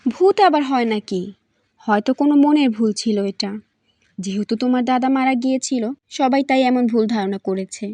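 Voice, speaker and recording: A woman's voice: a little high, a little fast, mellow, no room sound, lightly acted. A woman voicing a caring mother in a cartoon, who questions her husband and soothes her son. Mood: soothing. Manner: soothing, coaxing. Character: caring mother, gently coaxing. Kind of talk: cartoon voice acting.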